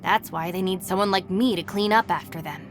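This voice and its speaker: Sensible, weary young woman for a game, played by a woman: matter-of-fact, medium pitch, music underneath. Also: a little fast, lightly acted. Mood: exasperated, fond. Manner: matter-of-fact, long-suffering. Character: weary, sensible young female gang deputy. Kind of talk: game voice acting.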